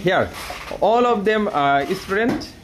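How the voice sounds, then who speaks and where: A Bangladeshi man hosts a casual vlog in hesitant, filler-heavy English. His voice sits a little high. Busy crowd chatter fills the room around him. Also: animated, poor audio. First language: Bengali. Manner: hesitant, many fillers, halting non-native English. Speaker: vlogger host. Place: busy coffee training room.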